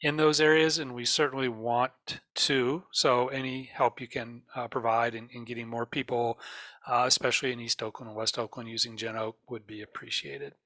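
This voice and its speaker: Bright-voiced man giving a halting presentation. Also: medium pitch, flat delivery, dry recording. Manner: halting, explanatory delivery with frequent pauses. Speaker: presenter for an emergency radio network. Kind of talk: informational slide presentation.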